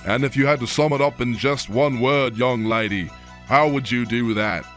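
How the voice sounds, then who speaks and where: A man's voice: mid-range voice, moderate pace, a bit bright, natural voice. A man playing a pompous, florid field reporter in a satirical radio drama's mock newscast. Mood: showy. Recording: faint music under the voice.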